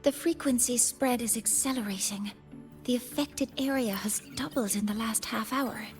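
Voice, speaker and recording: A fairly high female voice from an actress plays a composed game researcher. She delivers her briefing in formal, exact phrases over background music. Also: normal speed, lightly acted. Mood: serious, formal. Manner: formal, clinical, articulate. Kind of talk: animated game character dialogue.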